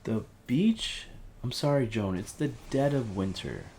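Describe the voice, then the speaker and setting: A man playing a gentle, anxious helpline counsellor in an audio drama, halting, earnest and reassuring. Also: lowish voice, animated, slight background noise. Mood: worried, pleading. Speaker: helpline operator. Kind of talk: audio drama phone-call acting.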